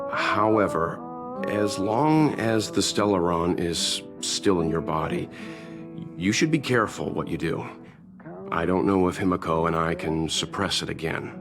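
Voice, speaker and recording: Deep, unhurried, courteous gentleman. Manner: courteous, calmly cautioning. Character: polite, measured, kindly man aboard a space train. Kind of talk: video game dialogue. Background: guitar music.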